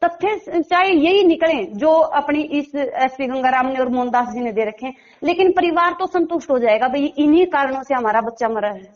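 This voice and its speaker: A woman's voice: high-pitched, lively intonation, normal speed, hardly any pauses. A woman speaks. She is emphatic and insistent, pressing her points as an interviewee making an appeal. The recording is dull and lo-fi. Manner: emphatic, insistent, piling up rhetorical questions. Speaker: interviewee commenting on a local case. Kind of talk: spoken interview answers, appeal to authorities.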